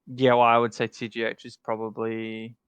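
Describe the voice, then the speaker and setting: A man's voice: mid-range voice, monotone, moderate pace, a bit bright, smooth, no room sound, dull audio. A man co-hosting a casual podcast chat who thinks aloud with many fillers and restarts. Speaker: podcast co-host ranking game alliances.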